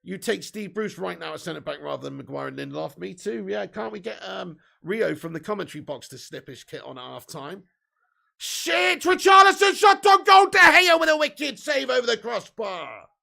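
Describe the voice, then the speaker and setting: A man with a hoarse, fading voice, giving sweary, excitable live fan commentary while reading chat. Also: high voice, normal speed. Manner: swearing, excitable, shouts at chances. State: losing his voice. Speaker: fan watch-along streamer.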